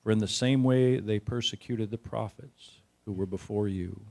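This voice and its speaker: A man speaking as a pastor at a church service, measured and deliberate as he reads and introduces. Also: lowish voice, gravelly, dark tone. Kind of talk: scripture reading and church introduction.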